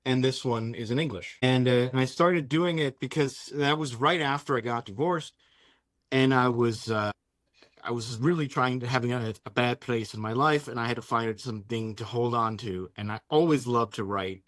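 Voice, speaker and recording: A man from Denmark whose first language is Danish talks in a casual, conversational way as an interviewed author. His voice sits at an average pitch, and the sound is very dry, with little room on it. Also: moderate pace, a bit bright, no echo.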